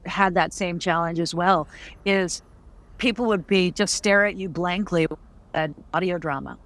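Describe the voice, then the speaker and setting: A woman's voice: lowish voice, a little slow, fairly bright tone. A woman hosting a casual interview, chatting with wry, self-deprecating humor as a fellow creative. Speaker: interview host; audio drama writer and creator.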